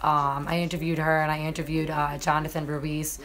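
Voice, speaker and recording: A woman with a low, slightly hoarse voice hosts a relaxed live stream from her computer. She talks straight to her viewers in a loose, chatty way, with plenty of fillers and restarts. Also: normal speed. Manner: chatty, many fillers, addresses viewers directly. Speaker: MMA journalist and live-stream host. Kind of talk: casual live-stream commentary.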